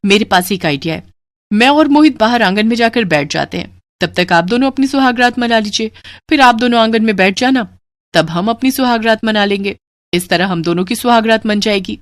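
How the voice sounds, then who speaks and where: A woman's voice: a little high, animated, a little fast, dry recording, studio quality, close to an everyday voice. A woman voicing an indignant young bride in a family cartoon, in a complaining, pleading manner.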